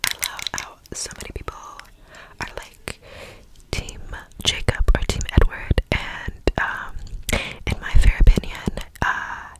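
A woman whispering a playful ASMR roleplay as a newly turned vampire, breathy, often repeating words and phrases. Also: unhurried, low-quality recording. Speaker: ASMR creator.

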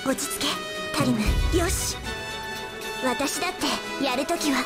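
A young girl warrior of the wind speaks in a very high, earnest voice. Her phrases are short and unhurried, gentle but resolute in the manner of a fighting game heroine. Loud game music plays behind her. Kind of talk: Japanese fighting game voice acting.

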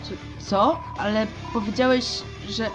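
A woman playing a naive, earnest child creator who is eager to please, hesitant and pleading, in an animated fan dub. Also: fairly high pitch, a little slow, lo-fi sound, natural voice. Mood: confused, pleading. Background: music.

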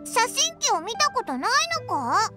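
A woman voicing a small, perky floating fairy companion in a Japanese game dub, with a squeaky childlike voice and blunt, boyish speech. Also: sing-song, normal speed. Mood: matter-of-fact. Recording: music under the dialogue.

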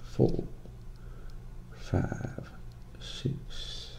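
A man playing a folksy small-town motel clerk in a soft-spoken ASMR role play, with a Southern American accent, breathy and gravelly, often near a whisper. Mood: quiet, methodical. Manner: slow, drawling, folksy, hesitant pauses. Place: small dim office at a desk. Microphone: close binaural microphone. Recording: noisy close binaural recording, room ambience.

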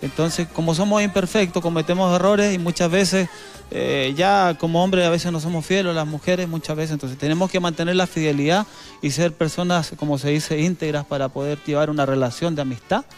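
A man in his thirties with a Chilean accent, a guest singer chatting earnestly on a TV studio set into a handheld mic, with some halting restarts. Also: on the high side, fairly even intonation, soft background music. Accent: Chilean Spanish. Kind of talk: casual chat.

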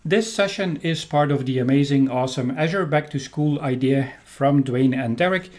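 A man's voice: medium pitch, normal speed, a little mellow. A middle-aged man from Belgium with a Belgian accent, giving an enthusiastic recorded technical presentation as a trainer, with frequent ums. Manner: upbeat, enthusiastic, with frequent um fillers.

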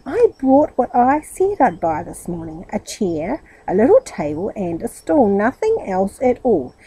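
A woman's voice: mid-range voice, wildly swooping pitch, a little slow, mellow, slightly rough, exaggerated voice, poor audio. Woman putting on a stern, exasperated storybook aunt. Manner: firm, scolding, emphatic. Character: stern, exasperated aunt scolding her silly nephew.

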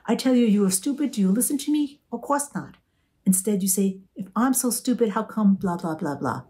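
A woman's voice: medium pitch, animated, fairly quick, warm tone, pitched above the performer's own voice, lightly acted. Woman reading a scolding old-world mother: broken English, insistent, relentless. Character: bossy immigrant mother, older woman, scolding. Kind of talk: audiobook character voice.

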